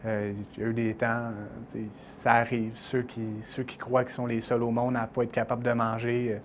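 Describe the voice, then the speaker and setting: A man's voice: mid-range voice, monotone, moderate pace, slight background noise. A young man in his twenties with a Québécois accent, giving a sober, reflective interview testimony. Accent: Québécois French. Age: 20s. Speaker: former school dropout.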